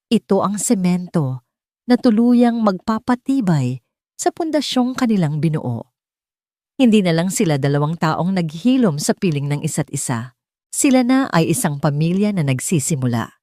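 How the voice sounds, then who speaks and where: A woman's voice: fairly low pitch, darkish tone, dry recording, clean studio sound. A woman storyteller reading a scripted romantic tale in a dramatic narrating style.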